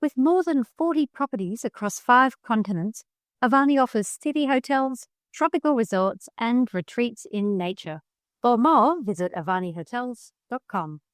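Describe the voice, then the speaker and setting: A woman co-hosting a travel podcast, chatting in a lively, playful, emphatic way. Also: on the high side, moderate pace, no echo, studio quality. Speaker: podcast co-host. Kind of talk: casual chat.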